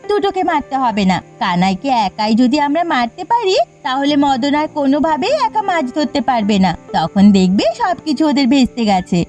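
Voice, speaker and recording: A woman doing a high, spiteful village wife. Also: big pitch swings, brisk, few pauses, a little mellow, faint music behind. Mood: goading. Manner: goading, vicious. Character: spiteful wife egging on her husband. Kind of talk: cartoon voice acting.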